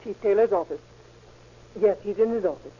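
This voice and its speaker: An actress playing a guarded, businesslike police secretary in an old radio drama, polite and clipped. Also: female voice, medium pitch, brisk, close to an everyday voice. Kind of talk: 1940s-50s American radio drama acting. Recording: muffled, noisy old radio recording.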